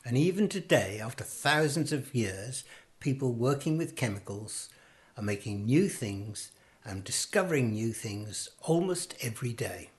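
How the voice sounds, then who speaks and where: Measured male chemist explaining. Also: mid-range voice, animated. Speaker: chemist guest. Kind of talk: plain explanation.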